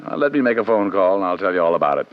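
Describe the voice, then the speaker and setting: An American man playing a wry, hard-boiled private detective in vintage radio drama style, with flippant deadpan delivery. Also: sits low, racing. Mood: flippant, probing. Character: wisecracking, hard-boiled male private detective narrating his case. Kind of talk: 1940s-50s radio detective acting and narration. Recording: dull vintage radio recording with music.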